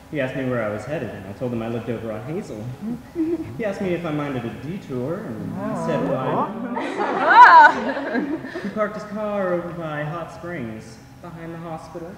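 A man's voice: on the high side, expressive, normal speed, close to an everyday voice. A young man on stage playing a flamboyant, witty, ailing drag queen with campy, projected delivery. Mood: storytelling, sly. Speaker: stage actor in a school play. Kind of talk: theatrical stage acting. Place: large theatre stage, indoors. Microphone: far camera. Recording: echoey theatre, distant microphone, clear noise.

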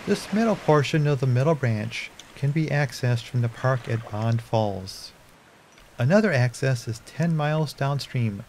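A man giving calm, scripted narration about a river and its fishing access. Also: medium pitch, unhurried, a little hiss or hum.

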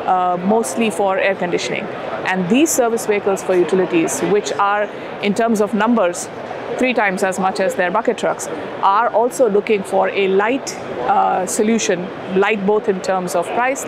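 A woman's voice: medium pitch, background noise. A middle-aged woman in a promotional interview, speaking as a company sales executive into a lapel mic. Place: indoor trade show booth. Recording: busy expo hall murmur behind.

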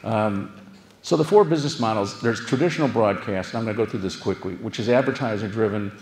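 A man's voice: lowish voice, quick pace, crowd noise. An older man with an American accent gives a conference keynote in a conversational, explanatory way, with frequent asides. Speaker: keynote speaker, television showrunner. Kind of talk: conference lecture to an audience. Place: large auditorium stage, indoors. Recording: echoey auditorium sound.

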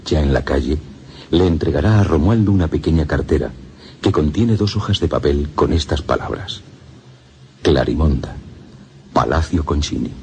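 A man narrating a scripted radio drama in a measured, solemn storytelling manner. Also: extremely low pitch, a little mellow. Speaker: narrator and presenter.

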